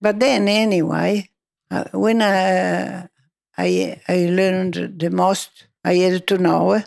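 A woman's voice: fairly low pitch, flat delivery, slow, gravelly, darkish tone. An older woman recounting her working life in an oral history interview, speaking hesitant, broken English.

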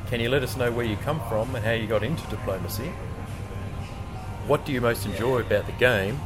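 A man's voice: mid-range voice, fairly quick. Podcast guy asking the questions, chatty, laughs a lot, says um plenty, music under it. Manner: chatty, laughs easily, lots of fillers. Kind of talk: casual chat.

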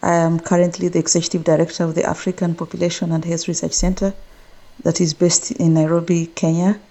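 A woman's voice: fairly low pitch, flat delivery, a little fast, warm tone, dull audio. A woman from Uganda with a Ugandan accent, speaking as a panel guest and introducing her work conversationally. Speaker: panel guest, research centre executive director.

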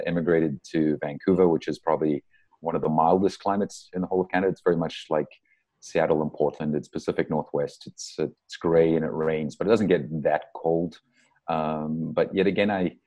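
Very deep-voiced South African man, middle-aged. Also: warm tone. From Durban, South Africa. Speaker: interview guest, soccer coach. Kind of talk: casual, reflective interview answers.

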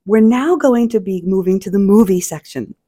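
A woman's voice: medium pitch, normal speed, dark tone. Female podcast co-host, bubbly, giggly, mid-range voice. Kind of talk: casual chat.

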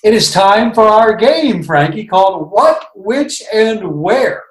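Male host, upbeat and relaxed, a touch high, roomy reverb. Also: takes it slowly. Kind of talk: casual talk show hosting.

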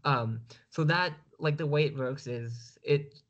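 A man's voice: fairly high pitch, a little slow, a bit bright, highs cut off. A man from Turkey with a Turkish accent, a guest speaking over a video call, hesitant and full of fillers.

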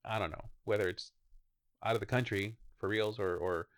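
Laid-back man in his thirties, low voice. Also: steady tone, moderate pace, no echo, studio quality. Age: 30s. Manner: rambling, easygoing, swears casually. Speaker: podcast co-host. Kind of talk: casual chat.

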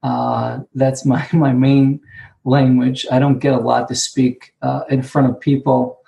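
A man with a Hebrew accent, Hebrew being his first language, sharing about recovery and sponsorship at an online conference, speaking haltingly and repeating words as he searches for them. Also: mid-range voice, level tone, vocal fry, warm tone, lo-fi sound. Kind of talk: personal share at an online conference. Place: sitting alone, remote.

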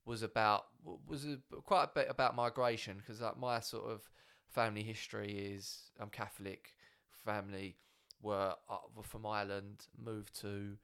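A man's voice: fairly low pitch, fairly even intonation, normal speed, creaky, few pauses, fairly bright tone, clear, resonant tone. Rambling Essex man, casual swearing. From Essex, England. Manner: rambling, swears, heavy filler words. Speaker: stand-up comedian, guest. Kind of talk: casual podcast chat.

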